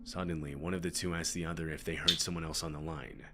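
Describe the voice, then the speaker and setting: A man's voice: a bass voice, level tone, fast talker, heavy background noise. A man reading scripted scary stories as a narrator, with music behind him. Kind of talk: scripted horror story narration. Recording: music bed under the voice. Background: background music.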